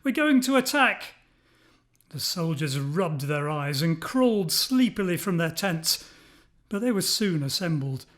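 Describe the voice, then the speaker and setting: A man's voice: on the high side, lively intonation, unhurried. A man narrating a scripted children's story expressively, as a storyteller.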